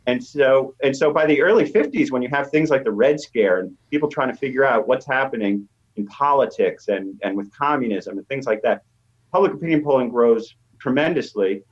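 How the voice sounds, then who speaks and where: A man's voice: medium pitch, animated, a little fast. A middle-aged or older American man, a professor guest explaining things conversationally and emphatically in an interview. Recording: dull, slightly lo-fi voice track.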